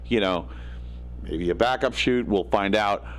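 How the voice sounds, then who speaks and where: A man hosting a casual show from his home studio, with a gravelly, raspy, slightly nasal voice, rambling with plenty of fillers and self-deprecating asides. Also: on the low side, unhurried. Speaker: host. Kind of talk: casual livestream talk. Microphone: studio microphone. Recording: faint hum in the electronics. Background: faint electrical hum.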